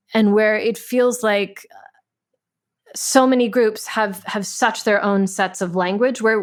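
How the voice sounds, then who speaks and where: Female writer posing questions. Average register, thoughtful, feeling her way through sentences. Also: medium pitch. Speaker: playwright and interviewer. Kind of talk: thoughtful conversation.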